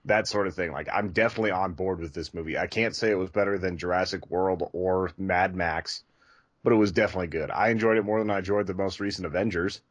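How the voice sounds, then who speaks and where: A man's voice: low voice, steady tone, fast, no room sound. A man co-hosting a film review podcast, giving his opinions in an easygoing, casual conversational way. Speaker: podcast co-host reviewing films. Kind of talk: casual movie review chat.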